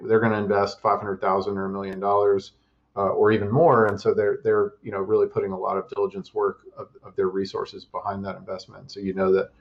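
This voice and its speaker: A man talks through his answers in a level, even way, stopping often to restart a phrase. His voice sits low and has a gravelly edge. The audio sounds slightly dull and muffled. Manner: measured, with many fillers and restarts. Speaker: interview guest, investor and startup advisor. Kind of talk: casual interview answers.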